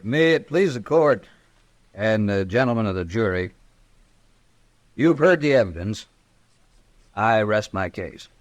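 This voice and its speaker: A formal frontier attorney in an old radio western. His voice is on the low side and his delivery is oratorical. The sound is dry, from a vintage broadcast. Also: animated, unhurried, long pauses, no room sound. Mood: pompous. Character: formal, indifferent frontier defense lawyer. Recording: vintage radio drama recording.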